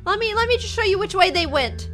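Very high, bright young woman gamer. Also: lively intonation, quick pace, soft background music. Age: 20s. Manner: theatrical, exasperated, girlish. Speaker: YouTube gaming host. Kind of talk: dramatic improvised game roleplay and chatty narration. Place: small indoor room.

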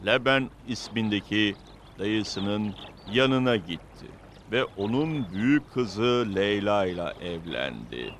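A man narrating a religious cartoon story in a solemn, measured way. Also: on the low side, fairly bright tone, a little hiss or hum.

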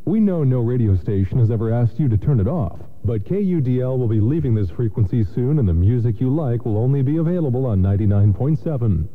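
A man's voice: mid-range voice, lively intonation, quick pace, few pauses, narrow, tinny audio. A man reading scripted station promos as a radio announcer. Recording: band-limited FM radio aircheck.

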